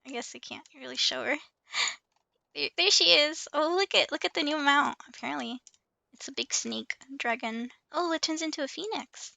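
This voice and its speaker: A woman's voice: sits high, normal speed, creaky, sharp tone. A woman livestreaming a game in casual chat, playful and giggly as she comments and reads out dialogue. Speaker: livestream host playing an online game.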